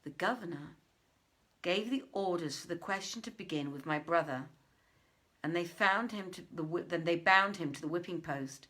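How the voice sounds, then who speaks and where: A woman reading an old tale as dramatic scripted narration, in a formal, deliberate, theatrical way. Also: on the low side, sharp tone. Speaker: audiobook reader.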